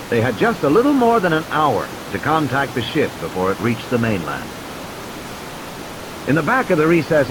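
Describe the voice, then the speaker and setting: A man's voice: medium pitch, noisy background. A man reading a scripted adventure story as a dramatic audiobook narrator. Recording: music and sound effects behind the voice. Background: music and background sound effects.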